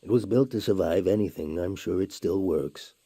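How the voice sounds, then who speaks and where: A solemn male monk from a game, speaking in a very low, dark and husky voice. He talks slowly and gravely, dry and close to the mic. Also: dry recording. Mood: urgent. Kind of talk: game voice acting.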